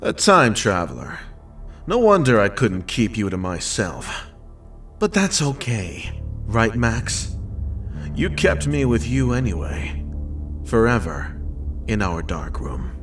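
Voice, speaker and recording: A man playing a gloating, predatory kidnapper in a dramatic animated story, taunting with mock politeness. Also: fairly low pitch, slow pace, pauses often, recorded from a distance, over music. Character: menacing, gloating male kidnapper and captor, predatory. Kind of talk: dramatic animated fan-fiction acting.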